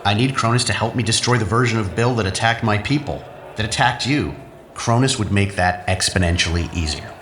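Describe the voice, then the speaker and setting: A man's voice: fairly low pitch, a little fast, fairly bright tone, natural voice. A man playing a defiant, sardonic scientist with a dry, sarcastic delivery in an audio drama. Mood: impatient. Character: sardonic, defiant male scientist, reluctant newcomer. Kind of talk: scripted audio drama acting. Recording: echoey room with faint noise.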